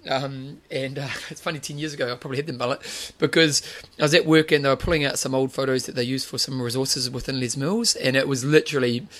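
Fast-talking jokey male podcast host. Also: medium pitch, fairly even intonation, a bit bright. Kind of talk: casual chat.

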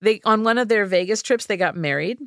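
A woman hosting a podcast, telling a story in casual chat, animated and jokey. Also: mid-range voice, fairly quick, no echo. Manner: animated, jokey, mimics voices.

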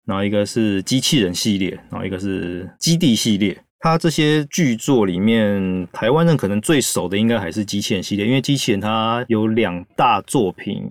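A man's voice: on the low side, lively intonation, normal speed, hardly any pauses, dry recording, studio quality. A man with a Taiwanese accent hosting a podcast, explaining things casually and chattily with little asides. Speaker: podcast host.

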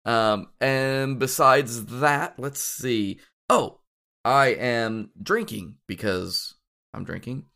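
A man hosting a casual podcast, a bit hoarse after shouting at a game, chatting in a rambling way with many ums and restarts. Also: mid-range voice, extremely slow. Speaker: podcast host.

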